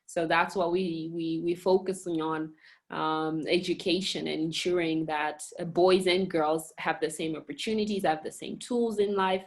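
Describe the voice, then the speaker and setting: Woman from Mozambique, lower register, halting interview answers full of fillers. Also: fairly even intonation. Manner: hesitant, many fillers and restarts. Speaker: communications director and girls education program manager at a national park.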